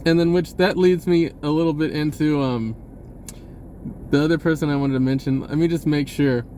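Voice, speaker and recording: A man talking casually in a rambling monologue, halting and pausing mid-sentence as he goes. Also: a little high, steady tone, mellow, slight background noise. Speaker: vlogger.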